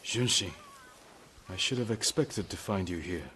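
Deep-voiced, stoic samurai lord. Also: male voice, animated, a bit bright, soft background music. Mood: calm, questioning. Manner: solemn, measured. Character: stoic, grave samurai lord, steady and resolute. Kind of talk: dramatic video game acting.

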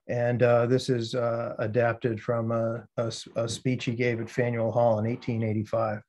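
An American man giving a poetry reading, speaking as the poet presenting his own work in deliberate phrases. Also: medium pitch, monotone, a little mellow. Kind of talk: poetry reading with spoken introductions.

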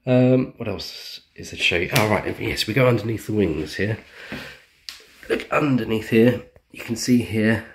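A man's voice: lowish voice, normal speed, slight background noise. A man casually walking through his model plane build at home, chatty with lots of ums and restarts. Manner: chatty, many ums and restarts, self-deprecating. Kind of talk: casual show-and-tell walkthrough. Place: indoors at a home dining table.

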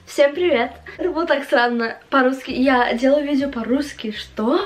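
A young woman with a fairly high-pitched voice talks casually to her camera in a small room at home. Her Russian carries an English-influenced accent. She speaks in a halting way, searching for words as she answers questions as a vlogger. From Latvia. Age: young adult.

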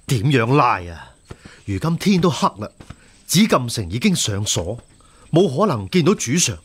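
A savvy court censor talking sense, hong kong cantonese radio play, husky and very expressive. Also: male voice, medium pitch, moderate pace. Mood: cautioning, concerned. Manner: reasoning, persuasive. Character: shrewd, steady male imperial censor advising a friend. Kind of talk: radio drama acting.

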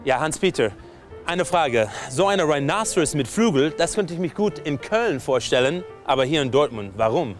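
An American man chatting in slightly broken German with bright enthusiasm, his pitch bouncing up and down. Loud music plays behind him at an outdoor market. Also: expressive, normal speed. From United States. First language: English. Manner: enthusiastic, non-native German with grammar slips. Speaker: host, touring reporter. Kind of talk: casual on-location interview.

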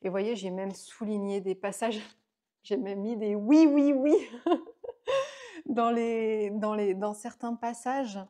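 A chatty woman in her late thirties talks through her favourite books in a small room at home. Her voice is mellow and warm, and her delivery is relaxed and full of fillers and restarts. She is close on a lapel mic. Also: medium pitch, slow. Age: 30s. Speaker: book vlogger. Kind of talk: casual book review to camera.

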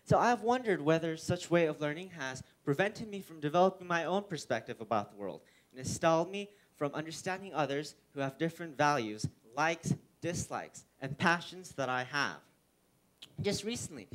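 A man's voice: fairly high pitch, poor audio. Teenage Korean boy giving a stage talk. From South Korea. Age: teens. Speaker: student speaker. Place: auditorium stage with audience. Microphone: headset mic.

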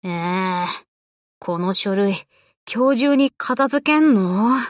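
A woman voicing a brash, tomboyish young warship girl in an anime game, talking in rough, cocky, casual boyish slang. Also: medium pitch, lively intonation, takes it slowly, no room sound, studio quality. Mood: whiny. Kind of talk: Japanese anime game voice lines.

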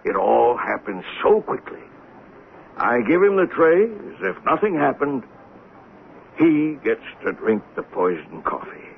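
An American actor playing an agitated eyewitness in a 1970s radio drama, recounting a crime insistently, step by step. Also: male voice, mid-range voice, sing-song, unhurried, gravelly. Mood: intent, explaining. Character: agitated male eyewitness reasoning out a poisoning. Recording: tinny old radio broadcast, faint noise.

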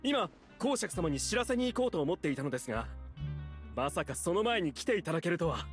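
A man's voice: fairly high pitch, big pitch swings, brisk, faint music behind. An actor playing an earnest young male guard in a game, reporting formally and dutifully to his superior. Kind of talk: Japanese game voice acting.

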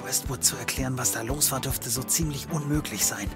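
Sardonic male private eye played by a man, medium pitch, world-weary, audio comedy, music underneath. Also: fairly quick, natural voice. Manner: dry, sardonic. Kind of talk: occult comedy audio drama acting.

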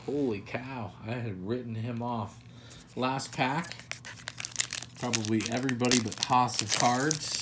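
A man's voice: mid-range voice, fairly bright tone, slight background noise. A man hosting a pack-opening video, talking casually with self-deprecating, rambling asides as he handles cards at a table. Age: older adult. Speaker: card collector channel host. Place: indoors at a table.

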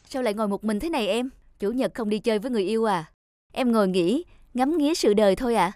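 A woman playing a caring, sensible young mother in an animated drama, talking in a consoling, matter-of-fact way. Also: on the high side, fast, no room sound, studio quality, natural voice. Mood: friendly. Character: caring, sensible married mother, an older-sister friend. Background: background music.

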